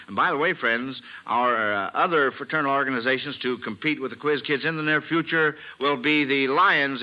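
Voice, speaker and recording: A man with an American accent hosting a quiz show in the formal manner of 1950s radio. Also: medium pitch. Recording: muffled old 1950s radio recording.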